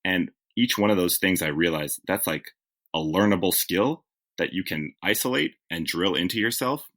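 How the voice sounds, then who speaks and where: A man's voice: deep voice, lively intonation, moderate pace, a bit bright. A man being interviewed as a TV writer, telling stories in a reflective, self-correcting conversational way. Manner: reflective, self-correcting, with frequent "like" and "you know". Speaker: guest, TV writer.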